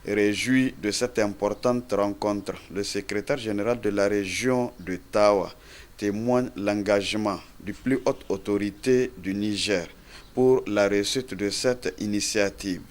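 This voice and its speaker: A man's voice: on the low side, a little slow. A man reading a scripted news report as a narrator.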